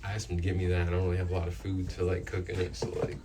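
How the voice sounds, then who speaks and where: A man's voice: sits low, completely flat delivery, racing. A young man working as a student radio host, chatting casually and informally. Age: young adult. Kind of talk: casual off-air chat between radio segments. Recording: distant mic, loud background noise.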